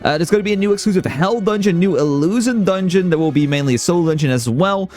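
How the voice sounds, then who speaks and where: A man giving a casual, excitable summary of gaming news as a streamer. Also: high voice, fast, faint music behind. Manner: excitable, talkative, hyped about announcements.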